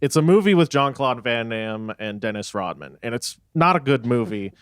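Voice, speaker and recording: A man's voice: mid-range voice, normal speed, clear, resonant tone, no room sound, studio quality. A young man from Texas chatting casually in a band interview, rambling and laughing easily. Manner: relaxed, rambling, laughs often. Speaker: band member.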